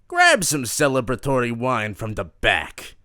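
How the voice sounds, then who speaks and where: Gangster boss, male, acted by a man: irritable, commanding, slow drawn-out delivery with big pitch swings, audio drama. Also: wildly swooping pitch, pushed and bright, dry recording, studio quality, full character voice. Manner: slangy, drawn-out barking orders. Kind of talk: audio drama character acting.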